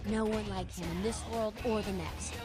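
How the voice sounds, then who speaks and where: A woman's voice: mid-range voice, steady tone, normal speed, music in the background, close to an everyday voice. An actress playing a hot-headed, combative female vampire gang leader in a video game, brash and sardonic. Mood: admiring. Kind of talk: video game character acting.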